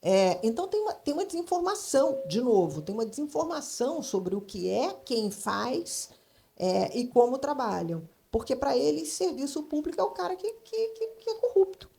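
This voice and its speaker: A Brazilian woman speaking off the cuff on a panel, halting and emphatic, repeating phrases as she thinks aloud. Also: high voice, big pitch swings, gravelly, dark tone. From Brazil, grew up in Brasília. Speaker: panel speaker, creative consultant in advertising. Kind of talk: spontaneous panel discussion.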